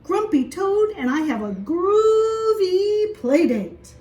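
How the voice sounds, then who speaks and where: A woman's voice: very high voice, very animated, takes it slowly, darkish tone, echoey room, far from the mic, pitched above the performer's own voice, heavily acted. A woman voicing a cheerful, easygoing young male kitten with an eager, childlike manner. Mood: excited.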